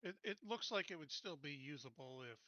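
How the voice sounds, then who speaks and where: A man's voice: a little high, expressive, fairly quick, bright, forceful tone, no room sound, dull audio. A man chatting casually as a player in a tabletop game, speaking into a close microphone.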